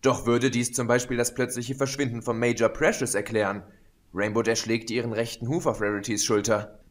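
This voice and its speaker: A man narrating a fan-fiction audiobook in a steady, even reading voice. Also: mid-range voice, brisk. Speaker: audiobook reader. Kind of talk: scripted narration.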